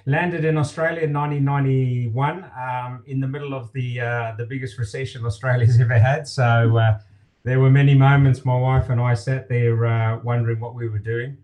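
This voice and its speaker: A man in his fifties from Zimbabwe, a company director telling his story as a guest on a video call, in a relaxed way with many fillers. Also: medium pitch, normal speed, highs cut off. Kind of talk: casual interview. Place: home office, indoors.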